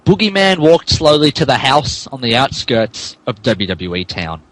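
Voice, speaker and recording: A man's voice: medium pitch, very animated, moderate pace, fairly bright tone, no room sound, poor audio. A man hosting a comedy podcast, moving between casual banter and a mock-dramatic reading of fan fiction. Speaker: podcast host and narrator.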